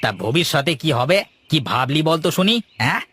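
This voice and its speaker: A man's voice: on the high side, lively intonation, fairly quick, a bit bright, slight background noise. A man voicing a jealous, gossiping villager in a cartoon fairytale, mocking and conspiratorial. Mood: mocking, scheming. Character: jealous, gossiping male villager, sly troublemaker. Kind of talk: animated moral fairytale dialogue. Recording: music behind the voice.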